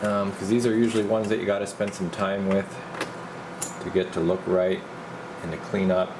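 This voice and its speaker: A man with a fairly low voice and plenty of fry in it talks casually through a repair job at the bench. He thinks aloud in an informal, muttering way, like a technician showing his work. A steady ventilation hum sits clearly behind him. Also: moderate pace. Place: indoor electronics repair workbench.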